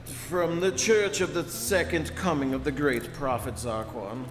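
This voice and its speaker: A man's voice: on the high side, steady tone, close to an everyday voice. An actor playing a smarmy master of ceremonies in a live stage radio play, grandiose and unctuous, drawing out his phrases. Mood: grandiose doom. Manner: grandiose, unctuous, drawn-out showmanship. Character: smarmy male showman compere at the end of the universe. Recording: echoey hall, live stage with music.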